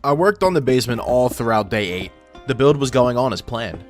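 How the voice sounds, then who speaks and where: Young man, gaming video host, casual narration, informal, self-deprecating, voice filter, mid-range pitch, soft background music. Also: animated, brisk. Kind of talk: casual scripted gameplay narration.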